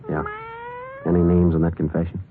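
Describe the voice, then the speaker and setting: A very deep, quick-talking American police sergeant played by a male actor, terse and deadpan. He tells his case in clipped, factual sentences, as in a 1940s radio drama. The old recording is dull, with music behind him. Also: slight background noise, close to an everyday voice. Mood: businesslike. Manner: deadpan, matter-of-fact, clipped. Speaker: narrator and lead detective.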